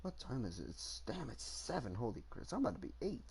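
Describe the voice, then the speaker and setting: A man's voice: medium pitch, very animated, fast talker, vocal fry, a little mellow, slightly rough. A man chatting casually on a game livestream, offhand and slangy. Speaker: game streamer.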